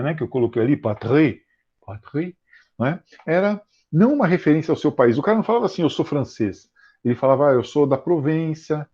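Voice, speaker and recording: A husky-voiced Brazilian man gives a history lecture on a video call. He talks with plenty of fillers and slows down to repeat key terms. The audio is muffled and slightly dull. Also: mid-range voice, darkish tone. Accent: Brazilian Portuguese. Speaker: history teacher. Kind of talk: online class lecture. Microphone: computer mic on a video call.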